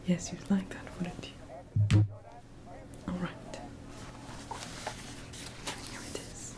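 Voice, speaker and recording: A woman playing a tender, teasing early twentieth-century sweetheart in an ASMR roleplay, speaking in a breathy whisper with a gentle, coaxing, playfully bossy manner. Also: on the low side, expressive, very slow. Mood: coy, tender.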